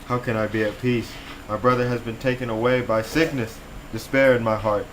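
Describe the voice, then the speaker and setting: A man's voice: mid-range voice, fairly even intonation, brisk, a bit bright, slight background noise, lightly acted, low-quality recording. Proud young king, teen boy, plain read. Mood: grieving.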